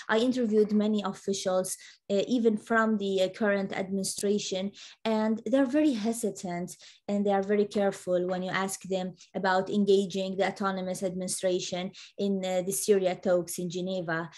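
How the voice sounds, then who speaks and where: A woman speaks as a conference panelist, analysing policy with a halting, searching delivery. Her first language is Arabic. Her pitch is mid-range and stays very level. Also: a little mellow.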